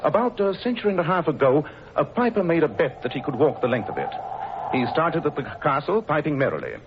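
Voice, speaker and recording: An actor playing a calm, learned detective who talks in a measured, lecturing way with dry amusement, in a 1940s radio play. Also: male voice, on the high side. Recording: dull old radio recording with hiss.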